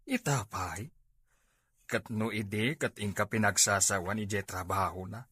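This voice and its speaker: Man playing husky, low-voiced Filipino family father. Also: measured pace, bright, forceful tone, no echo, exaggerated voice. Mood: concerned. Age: older. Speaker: actor in an audio drama. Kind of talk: comedy-drama acting.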